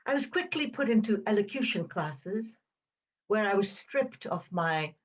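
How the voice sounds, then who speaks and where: Female, 70s, upper-crust British. Slow, reflective storyteller, precise diction, pauses between phrases, slightly muffled recording. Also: medium pitch, expressive. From Uganda. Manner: crisp enunciation, measured phrasing with deliberate pauses. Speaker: podcast host. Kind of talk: reflective personal storytelling monologue.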